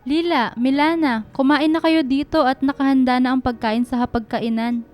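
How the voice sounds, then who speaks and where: A woman plays a middle-aged mother, caring and homely, in a radio drama. Her voice is on the high side, with soft music behind it. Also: steady tone, normal speed, natural voice. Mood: motherly, calm.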